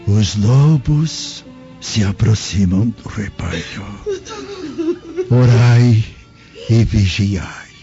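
An actor playing a gentle, devout old man in a radio drama, speaking solemnly in a measured, preaching way. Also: on the low side. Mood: grave warning. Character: gentle, devout, steadfast elderly Samaritan uncle. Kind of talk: Brazilian radio drama acting. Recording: dull lo-fi audio, music behind.

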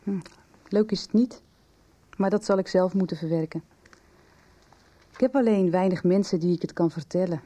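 An actress playing a female teacher in a naturalistic radio drama, confiding gravely with a hesitant, measured, understated delivery. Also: fairly low pitch, warm tone, natural voice.

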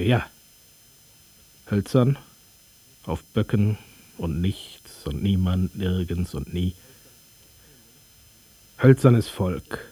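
Brooding narrator, low voice, slow, radio drama, dry studio. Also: male voice, breathy edge, dry recording, heavily acted. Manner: slow, hushed, meditative delivery. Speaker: reader of the novel text. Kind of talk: literary radio drama reading.

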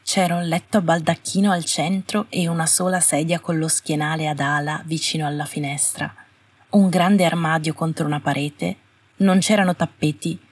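A woman's voice: sits low, steady tone, normal speed, slightly rough. A woman reading a scripted story as an audiobook narrator. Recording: roomy, slightly distant home recording.

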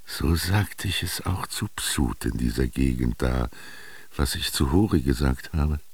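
An actor in a radio drama playing a melancholy, yearning ancient Egyptian man recalling his youth, speaking lyrically in a gravelly, husky voice with lingering pauses. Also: rumbling low, lively intonation, no room sound, heavily acted. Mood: musing. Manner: lyrical, brooding, with rhetorical questions and lingering pauses. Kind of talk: literary radio drama monologue.

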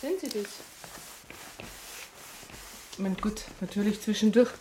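A woman played by an actress in a radio crime drama, with a medium-pitched voice. She is brisk and stern, explaining things in plain colloquial speech, with slight background noise. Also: close to an everyday voice. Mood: instructive. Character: brisk, no-nonsense Bavarian woman.

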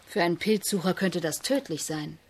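A woman's voice: on the low side, moderate pace, breathy edge. Persistent, probing female journalist. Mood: probing, sceptical. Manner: matter-of-fact, pointed, articulate. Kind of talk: German radio drama acting.

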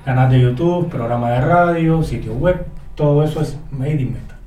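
A man with a Cuban accent, chatting easily as a guest in a radio interview and telling stories in a relaxed way. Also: medium pitch, normal speed. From Cuba. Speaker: guest, radio colleague and author. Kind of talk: casual radio interview chat. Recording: echoey studio, distant mic, faint music.

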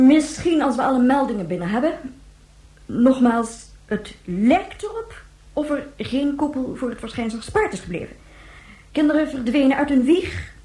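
An actress playing a grave, controlled government spokeswoman in a radio drama, speaking formally and with measured emphasis. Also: a little high, warm tone. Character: grave, controlled female government official facing a press interview. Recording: roomy, echoing radio drama recording.

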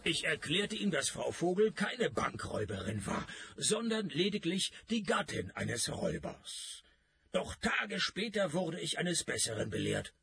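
An actor playing a cynical, wisecracking private detective who narrates a radio crime comedy in a deadpan, gravelly voice. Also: male voice, fairly high pitch, a little slow, full character voice. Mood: dry narrating. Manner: deadpan, sardonic.

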